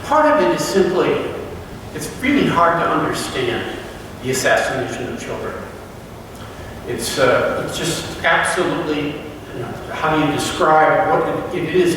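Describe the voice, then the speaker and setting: A man with a fairly high voice gives a halting, reflective talk, often stopping to restart his sentences. He sounds distant from the mic, and his words ring out through a large hall with a long echo. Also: expressive, noisy background. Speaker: fellowship member giving a talk. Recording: echoey hall from far off.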